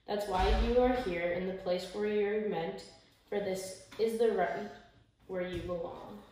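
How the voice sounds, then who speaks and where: A young woman reading a children's picture book aloud in measured, rhythmic verse, with the odd small stumble. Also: mid-range voice, dark tone. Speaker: story time reader. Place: small indoor room, seated by a window. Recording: echoey room, distant mic, faint noise.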